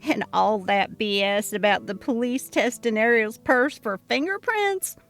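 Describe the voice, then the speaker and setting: A woman's voice: fairly high pitch, a little slow, fairly bright tone, soft background music. A woman voicing a sassy, emphatic female coworker who loves gossip, in a dramatic story reading. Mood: gleeful, gossipy.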